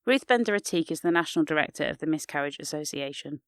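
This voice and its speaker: A woman's voice: sits low, expressive, fast talker, no echo, clean studio sound. A woman hosting a podcast, narrating in a reflective, scripted style. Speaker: podcast host.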